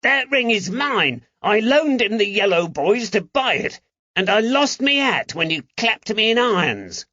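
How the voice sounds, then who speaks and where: A man's voice: high voice, sing-song, a little slow, bright, forceful tone, dry recording, highs cut off, voice pushed up, exaggerated voice. A man voicing a snivelling, indignant Cockney moneylender in an audiobook, whining and pleading defensively. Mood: whining indignation. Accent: London Cockney. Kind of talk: audiobook character acting.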